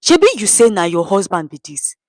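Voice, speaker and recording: A woman's voice: medium pitch, very animated, a little fast, no echo, clean studio sound, close to an everyday voice. A woman voicing a menacing male street thug in a story, barking threats with a Nigerian accent. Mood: threatening.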